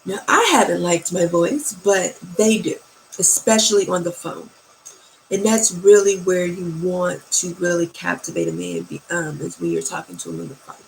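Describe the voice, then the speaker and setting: A woman with a naturally low, soft voice, giving dating advice slowly and sultrily and often checking in with "okay?" or "right?". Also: animated, vocal fry, slight background noise, poor audio. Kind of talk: slow, soft-spoken advice talk.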